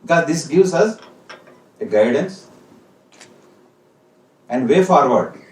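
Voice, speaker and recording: A man's voice: a little high, expressive, slow pace, darkish tone. A man with an Indian accent giving a formal, exhortative ceremonial speech as a senior company official. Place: indoor function hall with PA. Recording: echoey hall, distant microphone.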